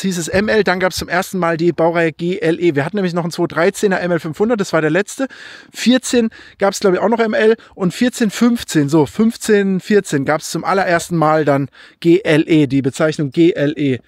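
A young man with a high voice chatting excitedly about his car outdoors. He thinks out loud, loses his thread and corrects himself as he goes. The sound is dry and close, picked up by a handheld phone. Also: no room sound. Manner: enthusiastic, rambling, thinks aloud and corrects himself. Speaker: car vlogger. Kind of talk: casual car review vlog. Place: outdoors by a car in a snowy field.

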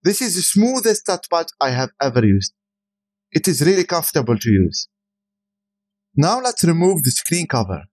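Male tech reviewer, native Arabic speaker, higher-pitched voice with lively intonation, scripted product voice-over. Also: moderate pace.

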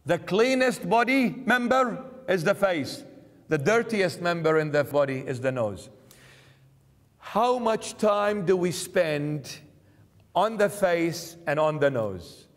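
A man's voice: high-pitched, expressive, takes it slowly. A middle-aged man preaching an emphatic sermon in a church, driving points home with repeated lists and rhetorical questions. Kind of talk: sermon commentary. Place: church sanctuary, indoors. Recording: reverberant church hall.

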